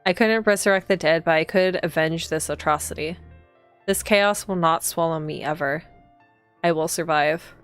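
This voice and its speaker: A woman's voice: deep voice, moderate pace, clean tone, soft background music, lightly acted. A woman reading the lines of a resolute, vengeful visual novel protagonist in a dramatic style. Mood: grimly determined.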